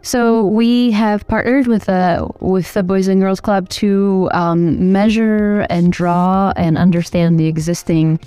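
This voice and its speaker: A woman's voice: medium pitch, steady tone, slow, few pauses, warm tone, clear, resonant tone, no echo, faint music behind. A middle-aged woman from Albania, answering interview questions thoughtfully with many fillers and restarts. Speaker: architect and program director, guest.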